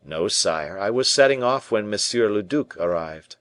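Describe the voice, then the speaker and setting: A man voicing a seasoned musketeer captain who answers in a cool, measured, respectful way. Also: on the low side, animated, a bit bright, no room sound.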